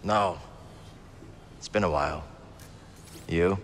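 A young rebel in a video game. His voice is low and understated, terse and dryly weary, with faint noise behind it. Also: takes it slowly. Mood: weary, downcast. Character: weary, guarded young male rebel fighter. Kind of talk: video game cutscene acting.

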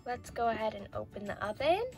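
A woman's voice: medium pitch, expressive, brisk, creaky, darkish tone, faint music behind. A woman voicing a cheerful doll girl in a scripted toy play video, sweet, enthusiastic and instructional. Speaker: toy channel host.

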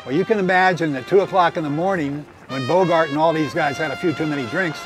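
Older American man, higher-pitched voice, music behind. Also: fast talker. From Southern California, United States. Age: older, around 70s. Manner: folksy, reminiscing storyteller. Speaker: harbor tour guide. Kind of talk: informal guided tour with local anecdotes. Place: outdoors on a small moving boat in a harbor.

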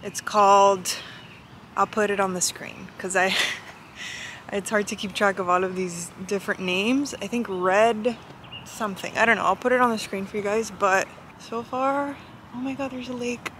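A young woman vlogs in a casual, informal way, with a medium-pitched voice. Her thoughts tend to trail off as she talks to a handheld camera. There is clear ambient noise behind her. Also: fairly even intonation, normal speed, a bit bright. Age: young adult. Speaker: vlogger. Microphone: handheld camera at arm's length.

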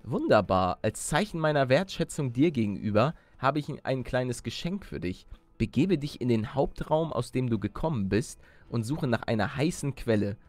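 Formal duck scientist guy from a game, done by a man, courteous schemer, measured wording, faint music behind. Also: medium pitch, animated, no room sound, lightly acted. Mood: pleased, formal.

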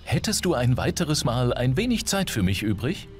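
An actor voicing a naive, earnest android in a video game, with formal, precise and guileless phrasing. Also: male voice, medium pitch, sing-song, normal speed, natural voice. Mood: earnest, matter-of-fact. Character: earnest, naive male android learning humanity. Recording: background music. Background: game music.

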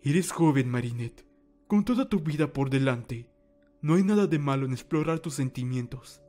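A man playing a calm, wise old mentor in a fan dub, speaking formal Latin American Spanish in a measured, reassuring way. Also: mid-range voice, faint music behind, natural voice.

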